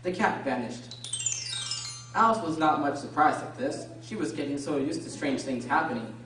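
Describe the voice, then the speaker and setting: A woman narrating a scripted storybook radio drama in an expressive, theatrical way. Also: sits low, normal speed, over music. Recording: echoey room, distant mic, loud noise.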